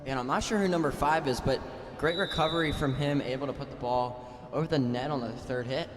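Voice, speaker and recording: A man's voice: medium pitch, fairly quick, background noise. A young man in a mid-range voice chips in offhand, hesitant remarks on a volleyball game. His voice rings through a gym with a long reverb tail. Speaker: color commentator. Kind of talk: amateur sports commentary. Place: echoey school gym.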